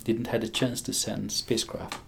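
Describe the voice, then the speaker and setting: A man's voice: lowish voice, flat delivery, normal speed, vocal fry, background noise. A man in his sixties with a Hungarian accent, talking enthusiastically in an interview, chuckling often and restarting his sentences. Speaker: physicist interviewee. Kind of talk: conversational interview.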